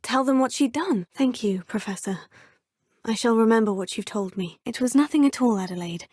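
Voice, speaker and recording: An earnest young student witch, courteous and formal in every line. Her voice sits fairly high and is recorded very dry, close in a studio booth. She speaks with measured calm in game dialogue. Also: husky, no room sound. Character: young female student witch, polite and composed. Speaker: player character. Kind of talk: video game voice lines.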